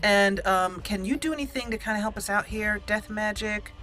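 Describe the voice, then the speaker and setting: A young woman hosting a let's-play, chatting excitedly through a battle and roleplaying dialogue. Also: medium pitch, moderate pace, over music. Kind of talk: casual game commentary and roleplay.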